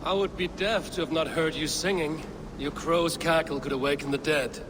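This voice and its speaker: An actor playing an earnest, blunt Viking warrior and steadfast friend in a dramatic video game. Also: male voice, a little high, steady tone, normal speed, close to an everyday voice. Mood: teasing. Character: stern, loyal Viking raider, steadfast friend. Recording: clearly noisy outdoor ambience behind.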